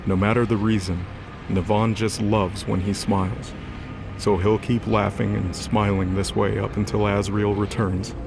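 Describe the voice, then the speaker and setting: A man's voice: on the low side, level tone, measured pace, vocal fry. A man narrating a scripted fantasy drama as a storyteller. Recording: music under the voice.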